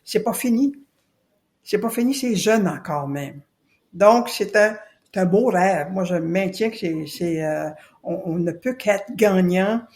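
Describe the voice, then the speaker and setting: A woman's voice: mid-range voice, animated, a little slow, darkish tone. A woman from New Brunswick, speaking earnestly as a podcast guest and repeating her key points for emphasis. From Acadia, New Brunswick. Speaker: guest, citizen committee spokesperson. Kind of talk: podcast interview.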